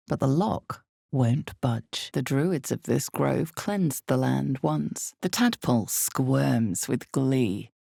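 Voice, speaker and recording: A knowing, ominous female narrator for a dark fantasy video game. Her voice is strikingly low and thick with gravel. She speaks slowly and deliberately, letting suspense hang in the pauses. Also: dry recording, studio quality, heavily acted. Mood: grave, knowing.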